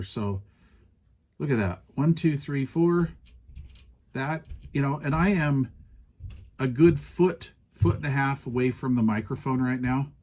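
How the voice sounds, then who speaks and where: A man's voice: medium pitch, a little mellow. A man giving a casual hands-on product demonstration as a reviewer, explaining things in a conversational way.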